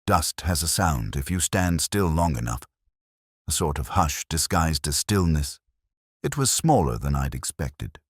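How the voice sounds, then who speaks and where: Very deep-voiced man narrating a detective story. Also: big pitch swings, unhurried, no echo, clean studio sound. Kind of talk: scripted first-person detective story narration.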